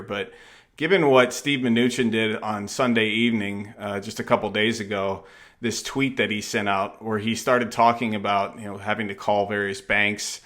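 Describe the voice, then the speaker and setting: A man hosting a conversational podcast interview, speaking in a halting way with rambling lead-ins. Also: lowish voice, fairly bright tone.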